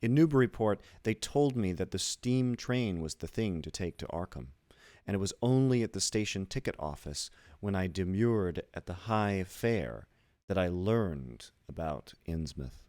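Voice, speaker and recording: Deep-voiced man narrating classic horror. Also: animated, measured pace, a little mellow, no room sound. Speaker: audiobook reader. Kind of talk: scripted literary narration of a horror story.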